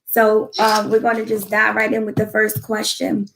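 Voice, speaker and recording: Woman podcast host, voice going hoarse, gravelly, casual churchy delivery. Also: mid-range voice, monotone, moderate pace, background noise. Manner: prayerful, peppered with church phrases. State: losing her voice. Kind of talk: casual faith podcast hosting.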